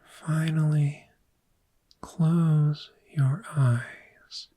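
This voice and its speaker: A woman voicing a calm, patient dragon therapist in a gentle, breathy, soft-spoken ASMR roleplay, with measured, reassuring instruction. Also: low-pitched, exaggerated voice, poor audio. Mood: calm guiding. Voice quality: breathy, whispery.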